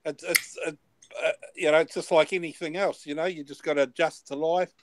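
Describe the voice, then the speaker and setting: A man's voice: a little high, steady tone, brisk, fairly bright tone, no room sound. A man in his fifties chatting casually as host, rambling and repeating his words, now and then swearing. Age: 50s. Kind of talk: casual chat.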